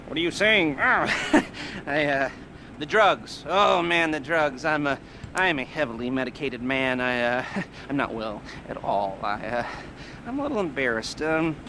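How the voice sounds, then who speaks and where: An actor playing a jittery, heavily medicated male heist planner in a video game, rambling and laughing nervously. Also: noisy background. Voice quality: nervous, rambling, giggly, manic swings in pitch. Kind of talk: video game cutscene acting.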